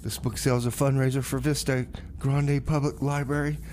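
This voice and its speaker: A man works as a community radio DJ, chatting casually between songs in a medium-pitched voice. His delivery is wry and offhand, and there is some noise behind him. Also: flat delivery, fairly quick, darkish tone. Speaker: community radio music show host. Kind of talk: casual radio DJ talk, announcing songs and local events.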